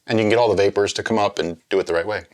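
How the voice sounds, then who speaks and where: A man hosting a drinks review vlog, chatting casually and explaining as he muses aloud, with pauses and little check-ins. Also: fairly low pitch, fairly even intonation. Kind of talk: casual chat, tasting review.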